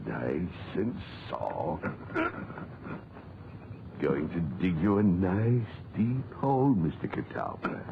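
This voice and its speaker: Slow, creaky old English gentleman. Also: low-pitched, very animated, full character voice. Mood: contented, unhurried. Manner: rambling, muttering to himself and to things. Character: kindly talkative old English gentleman gardener. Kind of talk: 1940s radio drama acting. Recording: muffled noisy 1940s radio recording.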